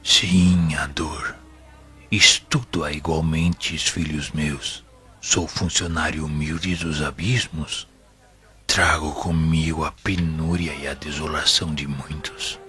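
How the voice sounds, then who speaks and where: Actor as a deep, slow, solemn spirit elder. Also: male voice, level tone, breathy edge, full character voice. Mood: grave, compassionate. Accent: Brazilian. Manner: solemn, gentle, measured, paternal. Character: male spirit minister, humble, wise, fatherly teacher. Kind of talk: Brazilian audio drama acting. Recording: voice over background music.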